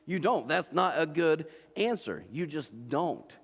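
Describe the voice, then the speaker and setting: Preacher in his 40s from Ohio, slightly high voice, chatty sermon delivery with asides to the crowd, long church-hall reverb. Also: sing-song, measured pace, gravelly, breathy edge. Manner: conversational, repeats and restarts, asks the crowd "right?". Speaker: pastor. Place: church auditorium.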